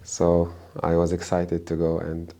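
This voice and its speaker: A man from Egypt with an Egyptian accent, a native Arabic speaker, giving religious advice as a preacher in an emphatic, deliberate way. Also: sits low, completely flat delivery, warm tone. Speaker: Islamic preacher and guest.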